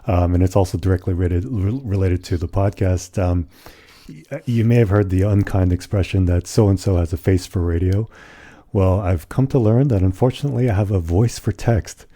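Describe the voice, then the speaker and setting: Podcast co-host, male, very low, breathy, mellow and dark, measured delivery with fillers. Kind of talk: casual chat. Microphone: studio condenser microphone.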